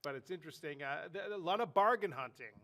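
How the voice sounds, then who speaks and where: A man with a higher-set voice talks sports in a loose, rambling way, full of "you know" and "uh" as he circles back on his points. A head cold leaves him sounding stuffed-up and nasal. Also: animated, quick pace, a bit bright. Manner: rambling, lots of fillers and restarts. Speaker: sports podcast co-host. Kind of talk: casual sports talk.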